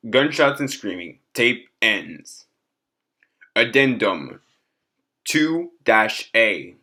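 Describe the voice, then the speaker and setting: A man narrating a scripted clinical report in a formal, measured way. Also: fairly low pitch, very animated, sharp tone.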